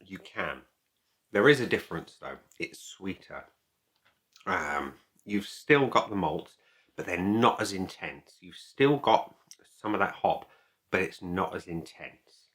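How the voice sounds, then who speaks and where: A man giving a casual product review to camera as a video host, speaking in a halting, deliberate way and repeating phrases. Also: sits low, expressive, fairly bright tone.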